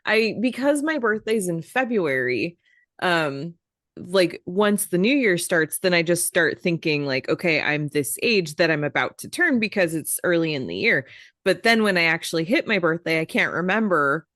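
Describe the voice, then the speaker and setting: A woman's voice: on the low side, expressive, moderate pace, fairly bright tone, no echo, studio quality. A woman in her forties co-hosting a casual podcast, speaking in a plain, matter-of-fact way. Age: 40s. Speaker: podcast co-host.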